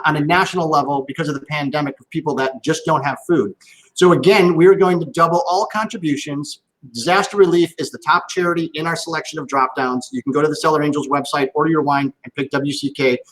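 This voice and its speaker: An upbeat male host keeps a streamed wine tasting moving with chatty jokes and housekeeping notes. His voice sits slightly high, and he talks over a video call setup. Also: fairly bright tone, rough recording. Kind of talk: live-streamed interview.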